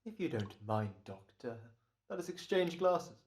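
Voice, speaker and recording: A man's voice: on the high side, very animated, a little slow, a little mellow, slight background noise. A man playing a smug, careful hired killer, speaking with hesitant, mannered politeness in a radio drama. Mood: wary, smug. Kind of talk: old-time radio drama acting.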